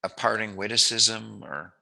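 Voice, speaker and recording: Playful male interviewer with a very bright voice. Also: fairly low pitch, measured pace, vocal fry, low-quality recording. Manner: playful, jokey, musing. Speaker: interviewer, host. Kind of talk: casual joking chat interview.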